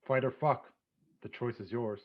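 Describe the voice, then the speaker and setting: A man playing a crude, controlling, contemptuous husband in a table read, with a husky voice. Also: medium pitch, fairly even intonation, normal speed, lightly acted. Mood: low, demanding. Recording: dull, muffled lo-fi sound.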